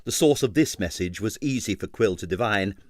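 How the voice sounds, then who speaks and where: A man reading an abridged novel aloud with expressive narration. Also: lowish voice, brisk, no room sound, clean studio sound. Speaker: audiobook reader.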